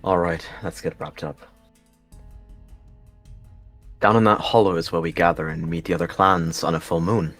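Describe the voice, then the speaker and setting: A man voicing a stern but gentle adult male warrior cat, a mentor giving measured instruction in a roleplay. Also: deep voice, steady tone, soft background music. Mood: calm, apologetic.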